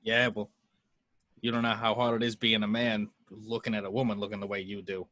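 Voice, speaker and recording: A man playing a cynical, sarcastic private detective in an amateur table read, gruffly dismissive in his delivery. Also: lowish voice, level tone, fairly quick, pushed and bright, dry recording.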